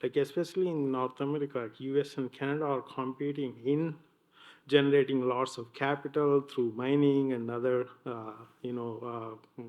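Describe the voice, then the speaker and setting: A man on a business panel speaks in a flat, even tone. He is hesitant and searches for his words as he goes. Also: medium pitch, normal speed. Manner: hesitant, many fillers and restarts. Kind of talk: panel discussion.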